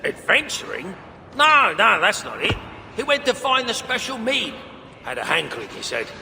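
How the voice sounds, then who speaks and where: An actor playing an excitable, grumbling dwarf craftsman in a video game, with a blustering, colloquial manner. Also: high-pitched, normal speed, creaky, heavily acted. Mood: irritated. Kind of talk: video game cutscene acting. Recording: reverberant hall, faint background noise.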